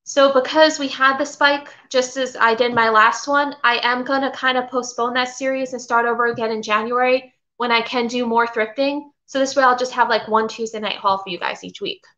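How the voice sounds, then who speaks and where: A woman's voice: on the high side, fairly even intonation, moderate pace, hardly any pauses, bright, forceful tone. Chatty woman on a dull webcam. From Florida. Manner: chatty, rambling, reads out viewer comments. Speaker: vintage seller hosting a live haul. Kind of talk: casual live-stream chat. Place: home kitchen, indoors. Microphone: webcam at desk. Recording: slightly dull lo-fi webcam audio.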